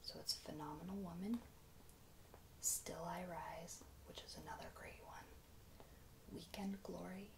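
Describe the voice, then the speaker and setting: Female ASMR creator: breathy whisper, unhurried delivery, fry-edged, informal and hesitant, noticeable background noise. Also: lowish voice, fairly even intonation.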